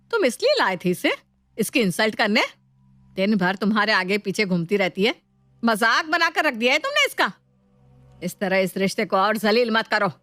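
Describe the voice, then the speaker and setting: A woman's voice: medium pitch, sharp tone, no echo. A woman acts out an exasperated middle-aged mother from a family storybook tale. She scolds and grumbles protectively, in a dry, close recording. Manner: scolding, complaining.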